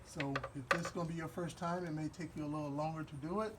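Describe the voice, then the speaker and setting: A man from Wisconsin with a Midwestern accent, explaining a car repair step by step in a relaxed, rambling way while he works. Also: fairly high pitch, slight background noise. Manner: relaxed, rambling, with fillers and pauses while working. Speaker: DIY car repair host. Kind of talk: hands-on repair walkthrough.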